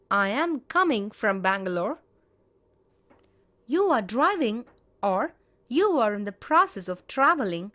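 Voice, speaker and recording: A woman teaching grammar, reading example sentences in a measured, deliberate way. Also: fairly high pitch, fairly bright tone. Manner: measured, spells out each example. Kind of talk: slow, deliberate grammar lesson.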